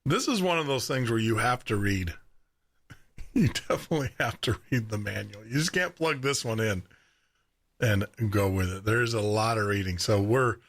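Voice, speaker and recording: A man with a deep baritone voice hosting a live radio show and podcast from his studio, chatty, laughing often and repeating words as he talks. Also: animated, moderate pace, fairly bright tone. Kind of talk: casual live radio chat. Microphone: studio microphone with headphones.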